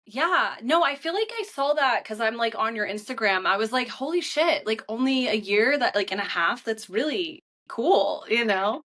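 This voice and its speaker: A woman's voice: a little high, expressive, moderate pace, few pauses, a bit bright. A young woman hosting a podcast in casual chat, bubbly and informal, peppering her talk with "like". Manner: bubbly, informal, heavy "like" filler. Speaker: podcast host, interviewer.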